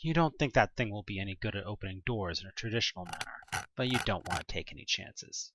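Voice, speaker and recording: A narrator giving a theatrical, expressive reading of a scripted horror story told in the second person. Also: low-pitched, fast, very noisy. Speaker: audio play narrator.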